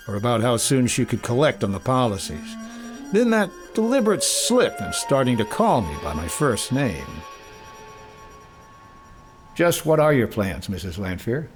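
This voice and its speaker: A man playing a polite, probing, skeptical insurance investigator in an old-time radio detective drama, measured and wry. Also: mid-range voice, natural voice. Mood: suspicious, wry. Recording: clear background noise and ambience.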